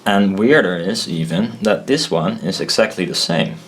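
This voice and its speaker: A man giving an informal technical tutorial as he draws, halting, thinking aloud and correcting himself as he goes. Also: low-pitched, a little hiss or hum. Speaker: presenter of a hobbyist explainer video.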